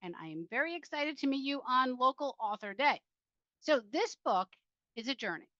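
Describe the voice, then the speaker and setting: A woman's voice: high-pitched, expressive, normal speed, bright, forceful tone, no echo. Woman author reading, dry slightly dull recording. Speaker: author reading from own book. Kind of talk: self-help book reading with spoken introduction.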